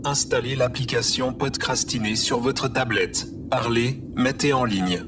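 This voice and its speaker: A man voicing a literal-minded computer assistant in an audio drama, measured, formal and deadpan. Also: medium pitch, over music, close to an everyday voice. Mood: matter-of-fact. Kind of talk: scripted audio drama, encyclopedic announcing.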